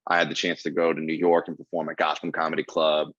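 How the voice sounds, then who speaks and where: A man's voice: a bass voice, completely flat delivery, quick pace, fairly bright tone, highs cut off. A man in his twenties from Ohio, a guest comedian telling his life story in a casual podcast interview, earnest and full of fillers. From Ohio, USA. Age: 20s.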